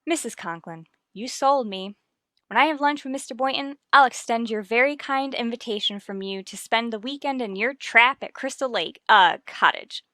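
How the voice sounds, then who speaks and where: High-voiced, wry wisecracking schoolteacher. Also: female voice, normal speed, pushed and bright, no room sound. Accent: American. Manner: dry, wisecracking delivery. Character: wry, sardonic female schoolteacher, quick with dry quips. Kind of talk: vintage radio sitcom acting.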